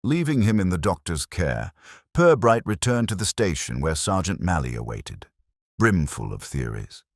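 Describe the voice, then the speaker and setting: Very deep male story narrator. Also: sing-song, no echo, studio quality. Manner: measured, literary storytelling. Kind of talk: scripted audiobook mystery narration.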